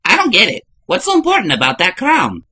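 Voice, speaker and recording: A woman's voice: mid-range voice, wildly swooping pitch, a little fast, sharp tone, husky, heavily acted. A woman voicing a nervous, wisecracking male plumber hero in a comic book fan dub, hesitant and exclamatory. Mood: skeptical. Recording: music behind the voice.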